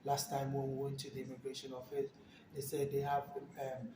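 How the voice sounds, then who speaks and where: A Ghanaian man talking casually and thoughtfully, pausing and repeating words, recorded on a phone from a distance in a room. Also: medium pitch, completely flat delivery, roomy sound, noisy background. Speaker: guest. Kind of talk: casual conversation.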